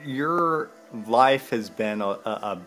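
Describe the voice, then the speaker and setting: Halting male interviewer, mid pitch. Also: lively intonation, over loud music, poor audio. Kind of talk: casual interview questioning.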